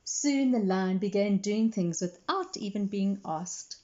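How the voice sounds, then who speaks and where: A woman's voice: medium pitch, a little slow, mellow, dull audio. A woman reading a picture book aloud to children as a librarian, with simple explanations along the way. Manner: clear explanatory storytelling for young listeners.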